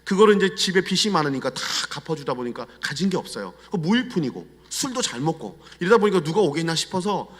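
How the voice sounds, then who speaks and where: A middle-aged Korean pastor with a Chungcheong accent, telling personal stories in a confiding, animated sermon style. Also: male voice, fairly high pitch, quick pace, few pauses, breathy edge. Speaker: guest pastor. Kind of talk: storytelling sermon. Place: church sanctuary. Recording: long church hall reverb.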